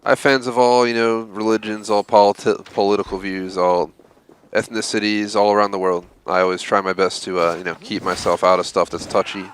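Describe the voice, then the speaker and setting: A man with a very low voice talks loosely to his viewers while he plays, filling the gaps with "uh" and "you know." A faint hiss sits under the recording. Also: level tone, brisk. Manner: rambling, frequent fillers. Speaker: game streamer host. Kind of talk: casual live-stream chat while gaming.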